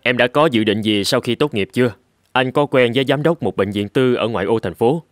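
A man's voice: medium pitch, a little fast, dry recording, faint music behind, close to an everyday voice. A man voicing a stern, practical middle-aged surgeon in a cartoon. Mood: persuasive. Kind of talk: cartoon dubbing.

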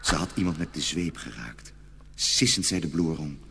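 A man tells a dark fairy tale as a dramatic narrator. His voice is extremely low, with a heavy creaky grain. Animal-like ambient noise is clearly audible behind him. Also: lively intonation, normal speed.

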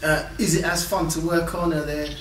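A man's voice: fairly high pitch, little pitch movement, fairly quick, a bit bright, echoey room, slight background noise. Off-camera male interviewer, distant. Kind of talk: interview questions.

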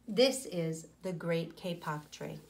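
A woman in her forties, a teacher reading aloud to children and explaining, with deliberate, pausing phrasing. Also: on the low side.

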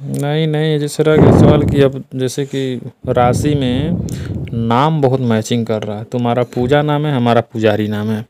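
A man's voice: mid-range voice, steady tone, normal speed, mellow, slight background noise, natural voice. A man playing a lazy, flirtatious male temple priest on a phone call, talking in a teasing, chatty way with a Bhojpuri accent. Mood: sly. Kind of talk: scripted comic phone prank.

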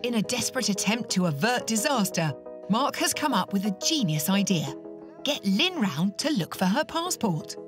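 Lively woman narrating reality TV. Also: mid-range voice, normal speed, music in the background. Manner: playful, punning.

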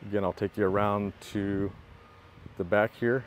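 A man's voice: on the low side, level tone, unhurried, slight background noise. A man giving a plainspoken, matter-of-fact walkaround of a work truck, outdoors in a parking lot, filmed on a phone he holds himself. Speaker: equipment builder giving a walkaround. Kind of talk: casual product walkthrough.

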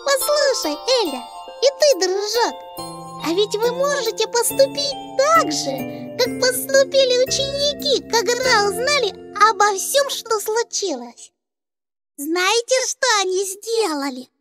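A woman voicing a gentle childlike angel puppet who tells Bible stories to children in a deliberate, expressive, instructive way. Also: very high-pitched, loud music behind the voice, heavily acted. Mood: joyful, encouraging. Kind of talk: children's storytelling.

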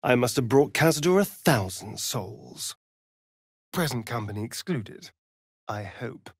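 Sly, ancient male vampire for a game: theatrical, flirtatious, slow drawl, very animated pitch, dry close recording. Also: breathy edge, no echo. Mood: subdued, vulnerable. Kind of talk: video game character acting.

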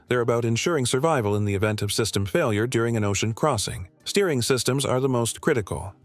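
A man narrating a scripted voice-over about solo sailing, in a calm and measured explanatory way. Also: medium pitch, no echo.

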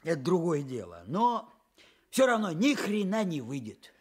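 An actor playing a brash, teasing young village know-it-all in a radio play, breezy and slangy with bits of pompous official jargon. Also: male voice, on the high side, sing-song, unhurried, a little mellow, breathy edge, full character voice. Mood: dismissive, scornful. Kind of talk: Soviet radio play acting.

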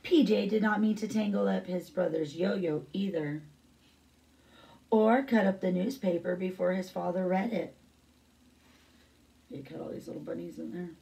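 A woman's voice: lowish voice, normal speed, creaky, pauses often, warm tone, recorded from a distance, rough recording. A middle-aged woman reading a picture book aloud as a teacher, with brief asides.

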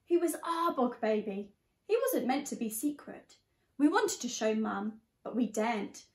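A young woman reading a picture book aloud to young children in an expressive, teacherly way. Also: on the high side, measured pace. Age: young adult. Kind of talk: storybook read-aloud. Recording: echoey room sound.

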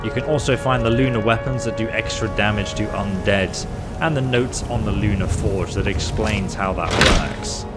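A man commentating his own fantasy game playthrough, excitable and exclaiming through the fights. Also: on the low side, moderate pace. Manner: excitable, exclaiming and role-playing in combat. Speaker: gaming YouTuber. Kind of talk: let's-play commentary. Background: loud game music.

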